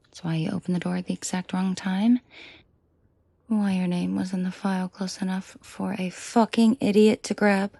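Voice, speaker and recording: A woman playing a commanding, protective and seductive mafia boss in an intimate audio roleplay, speaking in a breathy, controlled murmur that often drops to a half-whisper. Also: medium pitch, little pitch movement, dark tone, dry recording. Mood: low menace. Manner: slow, deliberate, controlled murmur addressed closely to listener.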